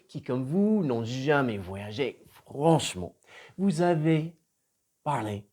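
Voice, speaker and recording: Middle-aged American man, English trainer, emphatic motivational teacher, warm and mellow tone, very lively pitch. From United States (Florida). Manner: animated and emphatic, swings between loud punches and soft drops. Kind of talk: motivational video lesson.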